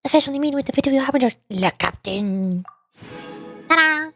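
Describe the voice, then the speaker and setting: A woman's voice: high voice, lively intonation, normal speed, a bit bright, soft background music. A woman streamer giving casual, chatty, playful commentary on a game. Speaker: streamer, VTuber.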